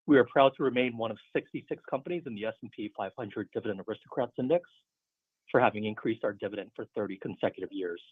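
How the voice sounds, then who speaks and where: Over a narrow, muffled conference phone line, a man with a lowish, husky voice reads a scripted financial report. His delivery is businesslike and steady, that of an executive addressing investors. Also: dry recording. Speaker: finance executive on an earnings call.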